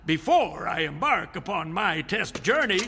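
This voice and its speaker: Very high-pitched, grandiose professor. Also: male voice, big pitch swings, a little slow, gravelly, bright, forceful tone, soft background music, exaggerated voice. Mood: elated, grandiose. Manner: pompous, theatrical. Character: excitable, grandiose professor inventor of the 1890s. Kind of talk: radio drama acting.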